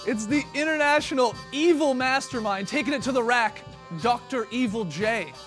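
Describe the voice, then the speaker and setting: Male sports show host, high and playful, hammy emcee energy, light music behind. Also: normal speed. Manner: playful emcee, hamming up intros. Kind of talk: studio sports talk show.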